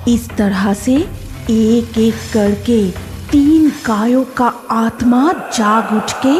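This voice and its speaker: Female horror narrator, fairly high voice, unhurried drawn-out phrasing, dramatic, cartoon storytelling, soft music underneath.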